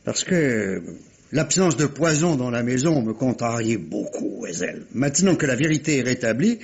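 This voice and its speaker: A man's voice: medium pitch, lively intonation, moderate pace, darkish tone, slightly rough. An actor playing a shrewd, politely ironic police inspector in a mystery radio play, musing and hesitating as he talks. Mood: musing, confident. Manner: hesitant musing, repeating words, dry irony. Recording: dull, muffled vintage-style radio recording.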